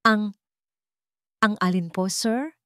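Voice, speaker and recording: A woman voicing a timid, deferential young nanny in an audio drama, halting and apologetic. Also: medium pitch, a bit bright, clear, resonant tone, no room sound, clean studio sound, pitched above the performer's own voice. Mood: nervous, apologetic.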